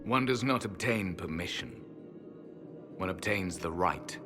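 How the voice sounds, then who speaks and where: Proud old elf guardian, low grave voice, formal n disdainful, fantasy game w music behind. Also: male voice, lively intonation, a little slow. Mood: solemn. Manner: grave, formal, archaic phrasing. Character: ancient proud male elven temple guardian, solemn, disdainful. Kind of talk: dramatic fantasy game acting.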